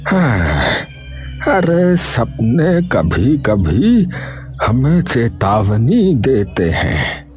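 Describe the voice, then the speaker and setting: Male actor as ominous cartoon village elder, extremely slow, dramatic swings in pitch. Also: wildly swooping pitch, darkish tone, slight background noise, heavily acted. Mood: dismissive, grave. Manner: slow, portentous warnings. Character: cautious old village grandfather, ominous and foreboding.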